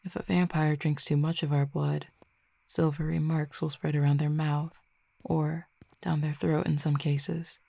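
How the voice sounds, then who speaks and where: A dominant, teasing fallen Cupid, played by a woman in an audio roleplay. The voice sits low and dark with a gravelly edge, held level with little rise and fall. It speaks slowly and closely to the listener, slipping into whispers. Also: flat delivery, no room sound, heavily acted. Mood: calm explaining. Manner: slow, measured, intimate, with soft whispered stretches. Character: commanding, teasing fallen Cupid, not human.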